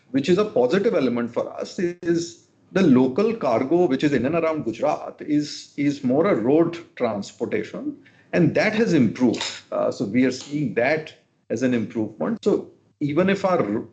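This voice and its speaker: A man answering questions as a company executive on an earnings call, in a measured, explanatory, hedging manner. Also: mid-range voice, vocal fry, a little mellow. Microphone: conference call line. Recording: dull, lo-fi conference call audio.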